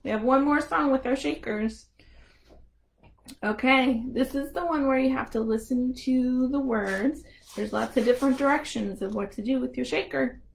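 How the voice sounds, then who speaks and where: High-pitched woman leading children's storytime. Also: normal speed, creaky. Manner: simple, encouraging talk addressed to toddlers. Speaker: children's librarian hosting storytime. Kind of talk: interactive children's storytime with songs and rhymes.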